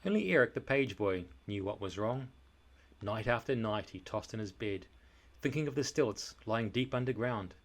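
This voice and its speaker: A man reading a children's story aloud in a dramatic, expressive and deliberate narration style. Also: fairly low pitch. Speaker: storybook reader.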